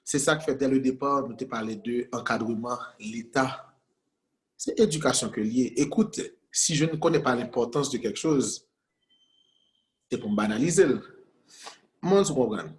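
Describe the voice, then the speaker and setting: Man, halting and repetitive. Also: mid-range voice. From Haiti. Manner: repeats words while searching, switches between Creole and French. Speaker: guest entrepreneur. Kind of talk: conversational interview.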